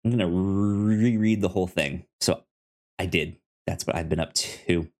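A man co-hosting a podcast in casual film discussion, halting and often repeating words and syllables as he goes. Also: low voice, darkish tone. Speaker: podcast co-host.

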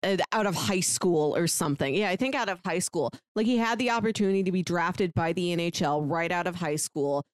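A woman's voice: lowish voice, a little fast, few pauses, no echo, clean studio sound. A woman telling a story informally in a casual podcast chat, often dropping in "like". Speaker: podcast speaker discussing books.

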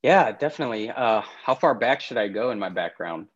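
A man's voice: on the low side, expressive, fairly quick, highs cut off. A man from Pakistan, chatting easily as a podcast guest, laughing often between thoughts. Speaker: podcast guest, startup CEO. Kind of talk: casual interview conversation.

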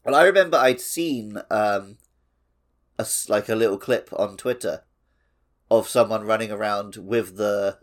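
A man's voice: lowish voice, measured pace. A man co-hosting a gaming podcast, chatting casually in a loose, conversational way. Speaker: podcast co-host.

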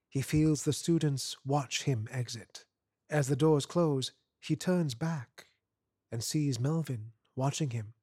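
A man narrating a short story in measured, deliberate phrases, as scripted literary prose. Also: a little high, a little mellow, slightly rough, dry recording, clean studio sound. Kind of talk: literary scripted narration, third-person present tense.

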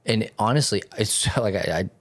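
A man's voice: on the low side, moderate pace, dry recording. A man from Texas hosting a podcast in casual chat, rambling and correcting himself as he goes. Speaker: podcast host.

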